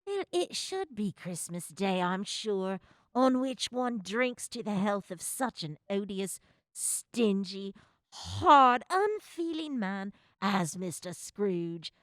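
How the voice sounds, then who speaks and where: Slow, indignant, sharp-tongued wife, played by a woman. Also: mid-range voice, sing-song, no room sound. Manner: pointed, disapproving. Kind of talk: stage-style radio drama acting.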